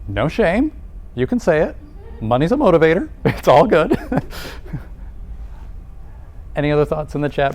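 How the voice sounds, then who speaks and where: Energetic man presenting to a room. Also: medium pitch. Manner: energetic, conversational, many rhetorical questions. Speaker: presenter at a nursing college welcome event. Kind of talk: live presentation to a room and an online audience. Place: room with a live audience.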